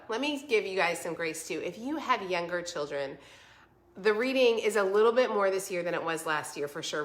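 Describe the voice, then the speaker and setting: A woman's voice: fairly low pitch, fairly bright tone. A woman in her forties with a congested voice, chatting casually and encouragingly to viewers as a livestream host. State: stuffy, congested voice from a cold. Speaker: vlog host selling a home planner. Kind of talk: casual livestream chat, answering viewer comments.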